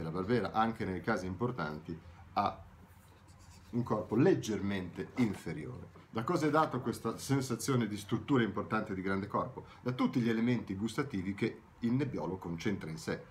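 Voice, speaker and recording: A man's voice: sits low, a little hiss or hum. A man in his thirties explaining wine tasting in a video tutorial, talking animatedly to the camera from a room at home. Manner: animated, gesturing, explanatory with self-corrections. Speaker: wine educator.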